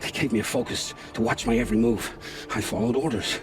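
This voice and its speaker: A man playing a guilt-ridden scavenger scout in a video game, speaking gravely and with haunted, poetic phrasing. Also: mid-range voice, steady tone, fast talker, slight background noise, natural voice. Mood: bitter, regretful. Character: guilt-ridden male scavenger scout, forced informant, begging for family. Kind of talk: dramatic video game acting. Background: background music.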